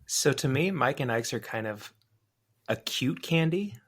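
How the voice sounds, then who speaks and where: A man's voice: medium pitch, animated, a little slow. A man on a podcast panel, talking in a casual, conversational style. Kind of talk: casual chat.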